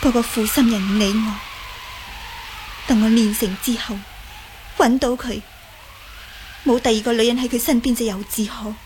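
Woman acting proud bitter young cantonese girl fighter in a radio drama, high voice, bit of noise behind. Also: steady tone, normal speed, long pauses, lightly acted. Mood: defiant, vengeful. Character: bitter young woman obsessed with poison martial arts. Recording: faint background noise.

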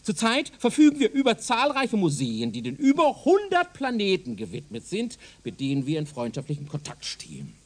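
A man's voice: high-pitched, huge pitch swings, moderate pace, hardly any pauses, a little mellow, heavily acted. A man playing a zealous, self-assured professor of speculative science in a German radio drama, lecturing in a pedantic, insistent way. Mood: eager lecturing.

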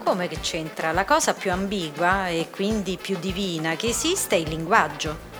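A dry, irritated hostess played by a woman speaks in a fairly low voice. She argues and confides in radio drama style, with music clearly audible behind her. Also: moderate pace, natural voice. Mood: argumentative, sardonic. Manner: dry, argumentative. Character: Maria, dry, irritated, argumentative woman hosting a party. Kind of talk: radio drama acting with inner monologue.

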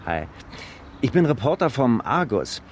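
An actor playing an eager, polite local newspaper reporter in a naturalistic radio drama. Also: male voice, medium pitch, very animated, unhurried, slight background noise.

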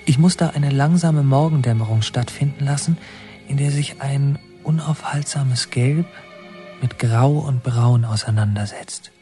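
An actor playing a brooding, haunted young man who narrates his memories in a deliberate, halting, literary radio drama style. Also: medium pitch, over music, natural voice. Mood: reflective. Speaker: narrator and protagonist.

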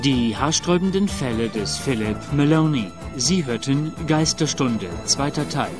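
A man's voice: mid-range voice, animated. A male announcer giving the series title and a formal recap of the earlier episodes. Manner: measured, formal. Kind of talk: radio play announcement and recap. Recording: voice over music.

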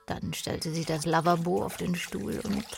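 A woman reading scripted narration for a radio play in a hushed, literary manner. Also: fairly low pitch, fairly even intonation, a little slow, creaky.

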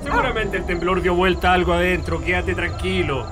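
A man playing a calm, dismissive army corporal on patrol in a radio drama. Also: high-pitched, brisk, natural voice. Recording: noisy ambience.

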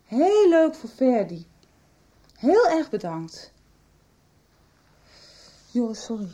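Husky, indignant young woman. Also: on the high side, very animated, slow pace, warm tone, dull audio, heavily acted. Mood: indignant, hurt. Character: embarrassed, indignant young woman among friends. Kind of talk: radio soap acting.

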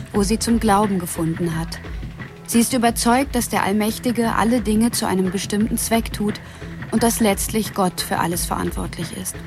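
A woman's voice: mid-range voice, close to an everyday voice. A woman narrating a radio drama epilogue in a formal, scripted style. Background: music.

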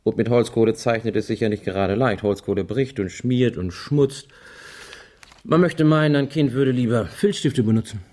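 An actor playing a wry, easygoing man in a German radio crime drama, thinking aloud methodically in a dry, deliberate way. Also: mid-range voice, a little mellow, lightly acted. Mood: analytical, dry.

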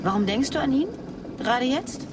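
A woman's voice: high voice, expressive, normal speed. A woman playing an anxious, probing agent on the run in a radio drama, with a direct, questioning manner. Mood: probing, frank. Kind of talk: naturalistic German radio drama dialogue. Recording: noisy, outdoor car sounds. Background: vehicle and street ambience.

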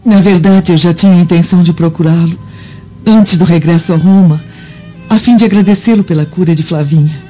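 A woman's voice: lowish voice, fairly quick, dark tone, close to an everyday voice. An actress playing an anguished, pious Roman noblewoman in a Brazilian Portuguese radio drama, earnest and formal. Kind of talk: dubbed radio drama acting. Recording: dull lo-fi audio with music underneath.

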